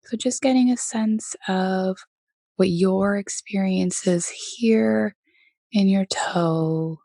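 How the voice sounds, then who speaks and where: A woman leading a guided meditation as teacher, speaking gently in a soft voice with many pauses. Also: mid-range voice, vocal fry, dry recording, studio quality. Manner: gentle, unhurried, pausing often between phrases.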